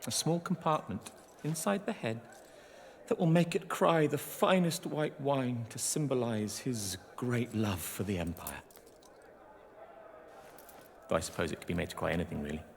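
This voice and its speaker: An actor playing a pompous, decadent young Roman prince in a video game, affected, languid and very pleased with himself. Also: male voice, medium pitch, animated, normal speed, vocal fry. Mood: smug, preening. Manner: affected, languid, self-satisfied. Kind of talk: theatrical video game acting. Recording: reverberant hall, faint background noise.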